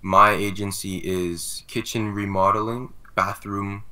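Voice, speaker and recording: A man's voice: fairly low pitch, level tone, measured pace, sharp tone, smooth. A man on a video call, a prospective client who politely asks questions with small restarts. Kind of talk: business call.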